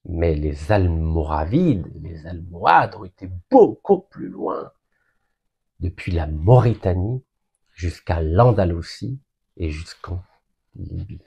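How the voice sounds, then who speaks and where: A man from Algeria giving an emphatic, deliberate public speech that builds long lists of points. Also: low voice, big pitch swings, creaky, mellow, breathy edge, highs cut off. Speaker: political speaker.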